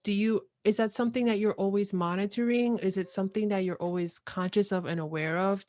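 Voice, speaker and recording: A woman hosting a podcast interview, asking measured, searching questions. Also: fairly low pitch, no room sound. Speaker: podcast host.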